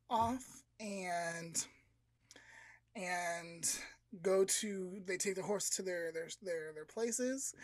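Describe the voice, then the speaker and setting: A man's voice: high voice, no echo. Man telling a story on his podcast. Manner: animated, filler-heavy, acts out voices. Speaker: podcast co-host. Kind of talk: casual storytelling.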